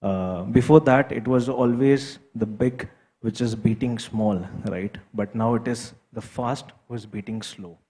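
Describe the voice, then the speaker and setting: A man's voice: medium pitch, warm tone. A man on a business panel explaining his view, often checking in with "right?". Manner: explanatory, tags statements with "right?". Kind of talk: panel discussion remarks.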